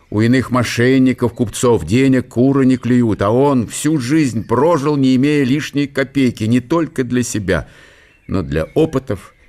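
A man's voice: medium pitch, darkish tone. A man giving a scripted literary narration in a radio drama.

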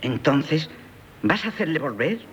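A woman's voice: sits low, sing-song, measured pace. An actress with a Spain Spanish accent playing an indignant, domineering older mother in a 1970s radio drama. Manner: imperious, reproachful, theatrical. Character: indignant, domineering older mother disapproving of her son-in-law. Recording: muffled 1978 radio broadcast recording.

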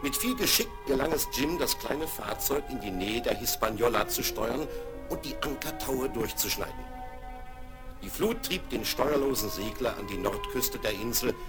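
A man reads the narration of a vintage radio play at an unhurried, even pace. Music plays underneath his voice. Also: medium pitch.

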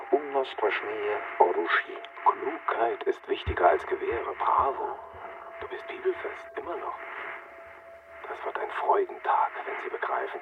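An actor playing a theatrical, scheming intellectual in a radio drama, mocking and declamatory, heard through a listening-device filter. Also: male voice, deep voice. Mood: sardonic. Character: theatrical, scheming male intellectual plotter, overheard through a bug. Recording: muffled, very noisy bugged-room radio reception.

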